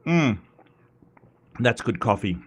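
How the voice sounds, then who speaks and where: A man's voice: mid-range voice, big pitch swings, slow, breathy edge. Stuffy-nosed Australian man rambling on stream. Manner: rambling and self-mocking, frequent stammered restarts. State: congested, nasal from a cold. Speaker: host of a live atheist commentary stream. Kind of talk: casual live-stream chat.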